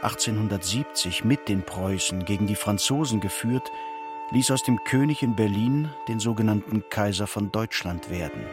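A man narrating a literary radio drama in a measured, solemn manner. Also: fairly low pitch, music in the background, lightly acted. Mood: grave, measured.

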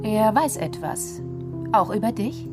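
A woman's voice: medium pitch, animated, a little slow, natural voice. An actress playing a matter-of-fact female investigator in a radio crime drama, asking short probing questions. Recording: music behind the voice.

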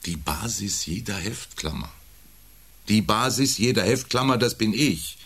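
An actor playing a domineering, self-important husband around forty who needles and belittles in an intimate German radio play. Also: male voice, fairly low pitch, expressive, a little slow, fairly bright tone, breathy edge, full character voice. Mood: brooding, self-important. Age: 40s. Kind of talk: intimate radio drama dialogue.

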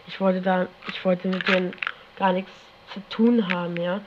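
A woman's voice: fairly low pitch, fairly even intonation, normal speed. Teenage female, slangy casual storytelling, dull old tape recording, faint noise. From Germany. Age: teens. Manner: colloquial, slangy, frequent tag questions. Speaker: interviewee recounting own experience. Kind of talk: casual spoken recollection. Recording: dull, noisy 1970s tape.

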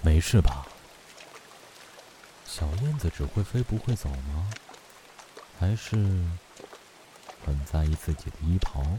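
An actor playing a calm, aloof and teasing male immortal in a Chinese fantasy audio drama. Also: rumbling low, slow, pauses often, background noise, full character voice. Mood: teasing, cool. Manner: composed, dryly teasing.

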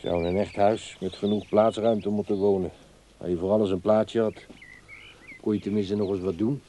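A man's voice: lowish voice, steady tone, moderate pace, mellow, slight background noise, natural voice. An actor in a radio play as a middle-aged man, grumbling and resigned, wistful about thwarted ambitions. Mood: wistful, bitter.

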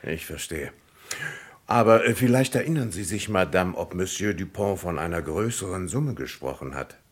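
Low-voiced, formal police commissioner. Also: male voice, expressive, breathy edge. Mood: politely firm. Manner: formal, polite, measured. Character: courteous, methodical, firm French police commissioner. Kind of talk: German radio crime drama acting, mid twentieth century.

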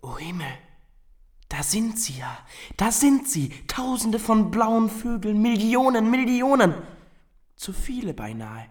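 An actress playing a wide-eyed, stubborn young seeker in a radio play, emotional and easily awed or grieved, sometimes dropping to a whisper. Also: female voice, a little high, very animated, takes it slowly, a little mellow, a little hiss or hum. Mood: awestruck. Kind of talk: German radio play acting.

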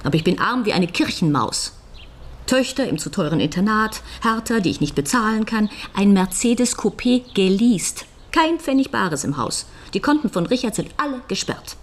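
An actress playing a middle-aged, newly widowed lawyer's wife in a German radio crime drama, frank, dry and impatient. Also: female voice, medium pitch, very animated, moderate pace. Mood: exasperated.

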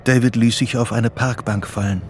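An actor as the narrator of a psychological thriller, reading scripted radio drama narration. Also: male voice, medium pitch, steady tone, moderate pace, darkish tone, close to an everyday voice. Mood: sober.

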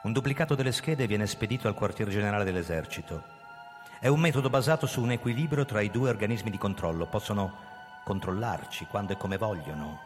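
An actor playing a proud, guarded older police commissioner who narrates his own story in a theatrical, measured radio drama style. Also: on the low side, close to an everyday voice. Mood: authoritative. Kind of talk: live radio drama reading with music. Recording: reverberant hall with music behind. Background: music.

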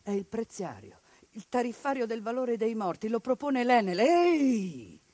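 A woman's voice: fairly high pitch, sing-song, a little slow, no echo. Woman, impassioned stage monologue, rhetorical lists, dry close voice. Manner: impassioned, rhetorical lists, shifts to hushed bitterness. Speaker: stage actress. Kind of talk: theatrical monologue on radio.

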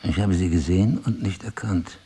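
An actor playing a wry, disoriented husband with amnesia, musing and articulate, in a German radio play. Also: male voice, sits low, moderate pace, dark tone, husky, heavily acted. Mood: bewildered.

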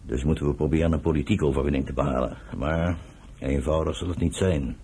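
An elderly patriarch of a radio play, with an extremely low voice that is heavily husky. He speaks gravely and deliberately, as a stern, embittered political leader. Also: fairly even intonation, dark tone, exaggerated voice. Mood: grave, concerned. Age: older. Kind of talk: radio drama acting.

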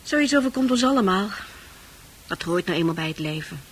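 A woman's voice: medium pitch, lively intonation, a little fast, slight background noise, natural voice. An actress in a Dutch radio play, playing a worldly, coaxing woman of easy virtue with a chatty, knowing manner. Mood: consoling. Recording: radio play with music behind.

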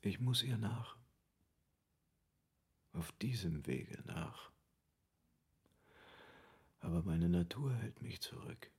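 Radio play role: anguished nobleman mourning his lost love, deep and husky, halting delivery. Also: a little mellow, exaggerated voice. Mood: resigned despair. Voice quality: gravelly, husky. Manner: slow, anguished, halting lament. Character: despairing, grief-stricken nobleman longing to follow his lost love. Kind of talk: literary radio drama acting.

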